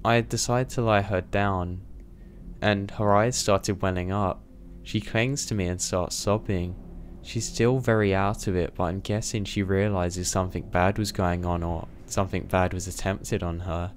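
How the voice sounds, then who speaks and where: Young man, very low voice, steady scripted horror narration, faint background hiss. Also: a little slow. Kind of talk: scripted first-person horror story narration.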